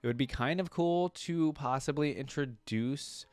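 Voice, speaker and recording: A man's voice: mid-range voice, measured pace, no room sound. A man hosting a reaction video, reading lore aloud and adding casual, rambling commentary.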